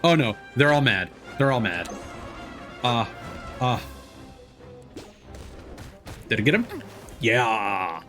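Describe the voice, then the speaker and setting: A man doing casual, chatty live game commentary, quick to react out loud. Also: mid-range voice, lively intonation, soft background music. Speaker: game livestreamer, host.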